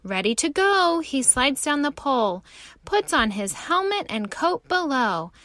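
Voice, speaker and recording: A woman reading a story aloud for young children in an expressive, theatrical way. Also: high voice, measured pace, no room sound. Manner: animated, theatrical delivery.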